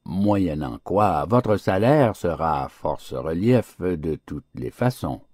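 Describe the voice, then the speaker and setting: A man's voice: sits low, expressive, slow, a little mellow, dry recording, clean studio sound, full character voice. A man voicing a big, well-fed storybook mastiff, complacent and persuasive, servile to his masters. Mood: smug, enticing.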